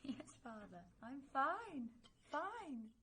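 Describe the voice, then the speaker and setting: An earnest young British woman, in vintage radio drama style. Her high voice moves through wide swings of pitch, emotional and hesitant. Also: very animated, very slow, sharp tone, full character voice. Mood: subdued, uneasy. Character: earnest, conflicted young woman, police chief's daughter.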